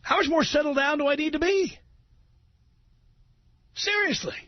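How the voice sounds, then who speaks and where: A middle-aged American man hosting talk radio with an opinionated monologue, speaking in an emphatic, sardonic way with deliberate phrasing. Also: very high-pitched. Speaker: radio talk show host. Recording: dull, roomy radio recording with music.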